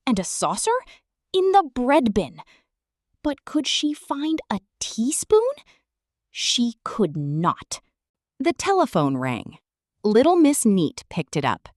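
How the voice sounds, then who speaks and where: A woman's voice: sits high, dry recording, clean studio sound. A woman storyteller reading a children's book aloud theatrically, addressing the listener directly.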